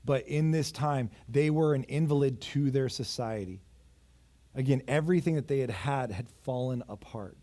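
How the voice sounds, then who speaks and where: A pastor in his thirties delivers a sermon in a mellow, dark-toned voice. He tells the story with urgency, then drops low and close, and slips in a wry joke about himself now and then. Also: moderate pace. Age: 30s. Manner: storytelling preacher, swings between raised urgency and quiet intimacy; self-deprecating asides. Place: church.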